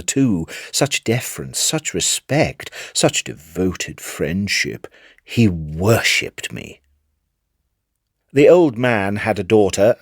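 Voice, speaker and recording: A man's voice: fairly low pitch, no echo, clean studio sound, full character voice. A British man playing a cunning, haunted madman in a dramatic gothic story reading, theatrical and savoring his words. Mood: gloating. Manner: theatrical, savoring words, dropping toward hushed menace. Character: cunning, gleeful, haunted madman confessing his murderous secret. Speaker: narrator.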